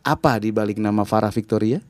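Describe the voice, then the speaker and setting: A man's voice: fairly even intonation, fast talker, a little mellow, no echo. Playful man hosting an interview. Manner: playful, exclaims with sudden high jumps in pitch. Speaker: interviewer host. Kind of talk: chatty talk-show interview.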